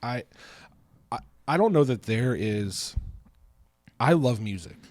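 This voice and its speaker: A man with a middle-range voice, co-hosting a podcast in a dry, close-miked recording. He talks in an animated way, breaking off and restarting his sentences. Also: mid-range voice, slow pace, no echo. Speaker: podcast co-host. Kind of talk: casual chat.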